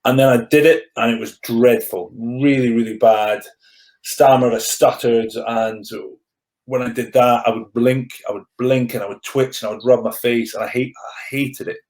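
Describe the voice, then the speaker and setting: A man's voice: mid-range voice, fairly even intonation, moderate pace, darkish tone. A man in his thirties with a stammer he mostly keeps in check, telling his story earnestly and often repeating words as he restarts. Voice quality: stammer, mostly under control. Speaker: podcast guest, rugby player turned coach. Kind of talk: candid personal storytelling in conversation. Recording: echoey room.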